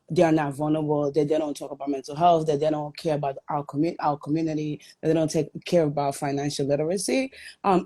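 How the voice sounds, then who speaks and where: Low-pitched woman from Guatemala, raised in the Bronx, guest owner, earnest storyteller. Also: dark tone. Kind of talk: telling her story in a live chat.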